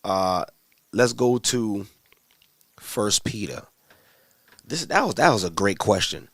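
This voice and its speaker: A man's voice: fairly low pitch, big pitch swings, takes it slowly, breathy edge, no echo, studio quality. A man teaching scripture in a casual livestream, answering viewers' questions in a conversational, slangy way with many restarts.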